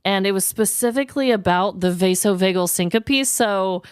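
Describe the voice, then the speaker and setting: Chatty podcast lady, smooth highish voice, super expressive, swears a lot, dry close sound. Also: normal speed, no echo. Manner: expressive, candid, swears freely. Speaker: podcast co-host. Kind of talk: casual conversational storytelling.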